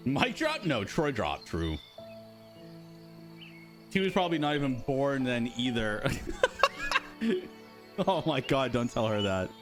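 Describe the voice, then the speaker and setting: A man's voice: mid-range voice, big pitch swings, takes it slowly, long pauses, music in the background. A man hosting a casual live stream for a game studio, chatting and answering viewers' questions. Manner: chatty, rambling, talks to chat.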